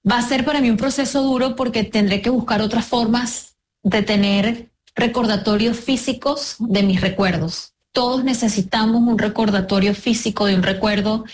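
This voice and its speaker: Venezuelan woman interviewee, some background noise. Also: mid-range voice. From La Guaira, Venezuela. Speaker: interview guest, disaster survivor. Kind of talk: reflective personal testimony in an interview.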